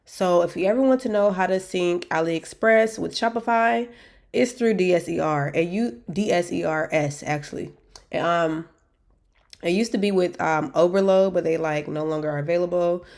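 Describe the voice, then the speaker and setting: A woman talks in a loose, chatty way, full of "um"s and restarts. Her nose is stuffed up, so her voice sounds a little blocked. Her pitch sits slightly low for a woman. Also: moderate pace, a little mellow. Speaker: dropshipping store maker reviewing vendors. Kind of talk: casual screen-share walkthrough.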